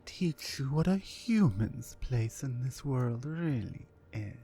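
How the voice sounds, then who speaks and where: A woman playing a towering, mean, dominant orc captor in an ASMR roleplay, commanding and deliberate. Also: a bass voice, big pitch swings, dark tone, slightly rough, full character voice. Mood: scornful, threatening. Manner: menacing, commanding, slow and deliberate.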